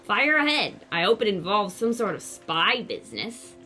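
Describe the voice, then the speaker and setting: A woman's voice: mid-range voice, very animated, normal speed, pushed and bright, faint music behind. A woman playing an eager, impatient, adventurous child in an audio drama.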